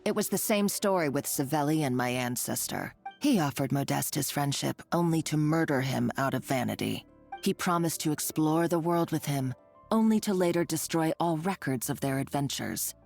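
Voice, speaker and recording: Bossy scheming villain woman, lowish voice, sneering and condescending, game music faint behind. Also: lively intonation, normal speed, creaky, darkish tone, exaggerated voice. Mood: gloating. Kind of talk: game voice acting.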